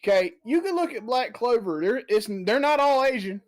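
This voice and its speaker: A podcast co-host in casual chat, blunt and animated, swearing freely while ranting. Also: mid-range voice, moderate pace.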